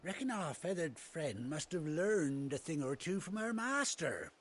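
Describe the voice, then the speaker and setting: An actor playing a chatty, kind-hearted rustic trader in a video game, with a British accent and a folksy, hearty, colloquial way of talking. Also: male voice, fairly high pitch, big pitch swings, unhurried, fairly bright tone, husky, full character voice. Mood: curious, jovial. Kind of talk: video game cutscene acting. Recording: game music behind the voice.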